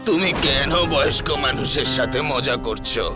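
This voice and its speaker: A man voicing an elderly male village chief, plaintive and philosophical, in an animated story. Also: mid-range voice, moderate pace, lightly acted. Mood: resigned. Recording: music behind the voice.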